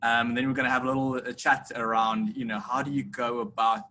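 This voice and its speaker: A man's voice: mid-range voice, flat delivery, quick pace, pushed and bright, slight background noise. A man moderating an online conference panel, upbeat and full of restarts as he speaks, on a video call.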